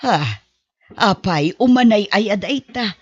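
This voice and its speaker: A woman's voice: medium pitch, a little slow, breathy edge, dry recording. A woman playing a weary, grudging older mother-in-law and grandmother who scolds, in an amateur audio drama. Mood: indignant. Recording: dull, dry lo-fi podcast audio.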